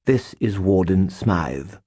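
A man's voice: deep voice, animated, slow, warm tone, slightly rough, no echo, studio quality, heavily acted. A man voicing a pompous character who fakes an obnoxious posh British accent. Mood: condescending. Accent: British (put on). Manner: haughty, obnoxious.

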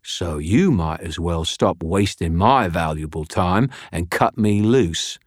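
A man's voice: low-pitched, expressive, slow pace, no echo, clean studio sound, full character voice. A man voicing a smug, heavyset criminal in an audiobook, sneering and cocky in his slangy talk. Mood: smug menace. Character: smug, snarling British male criminal, heavyset and insolent.

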